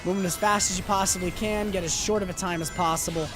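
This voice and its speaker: A man's voice: high-pitched, fairly even intonation, fast talker. A man doing live gameplay commentary while he is losing his voice, talking upbeat and rambling with lots of fillers and restarts. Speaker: game streamer. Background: loud game music.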